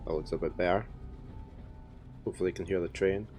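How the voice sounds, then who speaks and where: A man streaming a puzzle game, chatting and thinking aloud, his voice croaky from a head cold. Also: low voice, fairly even intonation, quick pace, darkish tone, soft background music. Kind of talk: casual live-stream commentary.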